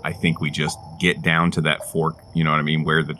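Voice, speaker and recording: A man's voice: very deep voice, monotone, a little fast, sharp tone, a little hiss or hum. A man playing a tabletop game, talking casually as he plans with the group. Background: background music.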